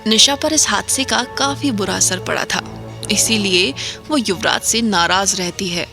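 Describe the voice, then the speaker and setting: A woman's voice: mid-range voice, unhurried, vocal fry, music in the background. A woman voicing a playful young male college student who teases his friend and tells a story, in an audio drama. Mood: storytelling. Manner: teasing, chatty storyteller. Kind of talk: Hindi audio drama acting.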